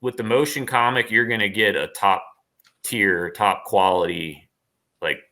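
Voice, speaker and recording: A man with a fairly low voice and a bright, forceful edge. He speaks as a guest in a casual interview, rambling with enthusiasm and doubling back on his phrases. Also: fairly even intonation, unhurried. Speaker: interview guest, online performer.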